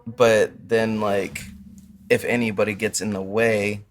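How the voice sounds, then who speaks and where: A man's voice: lowish voice, level tone, slow, slight background noise. A man co-hosting a podcast in casual chat, with loose, slangy phrasing. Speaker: podcast co-host.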